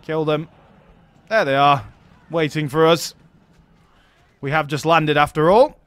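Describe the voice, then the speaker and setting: A man's voice: on the high side, unhurried, a bit bright, no echo. A man giving casual let's-play commentary on a strategy game, chatting, laughing often and talking to his units as friends. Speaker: gaming YouTuber.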